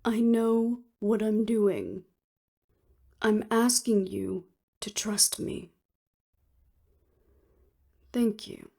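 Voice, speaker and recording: A woman's voice: medium pitch, steady tone, very slow, vocal fry, long pauses, warm tone, heavily acted. A woman acting in an audio roleplay as an earnest, weary official who speaks formally and seriously. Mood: weary, resolute.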